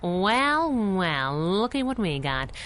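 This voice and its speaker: An actress playing a plucky, wisecracking young female sidekick in a 1930s-style radio serial, with sassy, excitable, slangy speech. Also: fairly low pitch, slow pace, a little hiss or hum, heavily acted. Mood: alert, excited.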